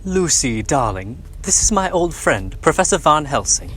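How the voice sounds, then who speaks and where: A man playing a courteous, fretful doctor and father in a radio drama, slightly husky, with a hesitant, polite manner. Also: on the high side, big pitch swings, normal speed, a bit bright, slight background noise. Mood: soothing.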